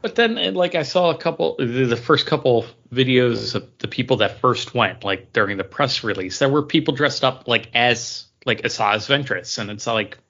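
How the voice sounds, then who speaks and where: Bright-voiced man on a lo-fi podcast. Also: mid-range voice, normal speed, gravelly, few pauses. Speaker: podcast co-host. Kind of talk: casual chat about theme park attractions.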